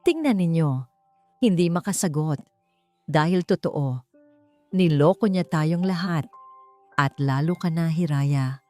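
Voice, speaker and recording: A woman's voice: low-pitched, a little slow, pauses often, a little mellow, no room sound. A woman puts on a smug Filipino man, the taunting rival of an audiobook love story. He gloats and goads as he speaks. Light music sits behind the voice. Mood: triumphant. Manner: gloating, goading. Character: smug, taunting male rival exposing a betrayal.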